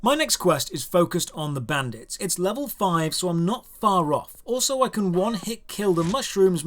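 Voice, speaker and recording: A man's voice: sits high, a bit bright. A man narrating a scripted video game review in a dry, sarcastic, exasperated manner.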